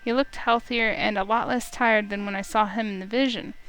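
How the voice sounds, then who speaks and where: A woman reading a visual novel aloud as an expressive first-person story, with music underneath. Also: mid-range voice, a little fast, rough recording.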